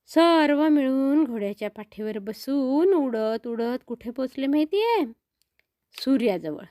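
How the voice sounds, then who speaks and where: उंच आवाजातली बालकथा सांगणारी बाई. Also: female voice, high-pitched, lively intonation, a little slow, warm tone, no room sound, studio quality. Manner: explains words simply, addresses young listeners. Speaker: children's storyteller. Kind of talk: narrating a children's story.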